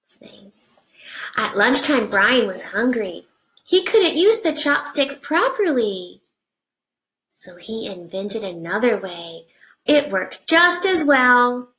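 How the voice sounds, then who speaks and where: A woman teacher reading a picture book aloud to young children, with simple deliberate phrasing and hushed asides. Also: fairly high pitch, sing-song, low-quality recording. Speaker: first grade teacher.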